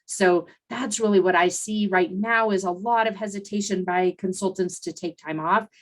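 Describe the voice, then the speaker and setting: Woman podcast host, mid-pitch, chatty solo coaching delivery, voice just back after laryngitis. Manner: conversational, with fillers and restarts. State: recovering from laryngitis.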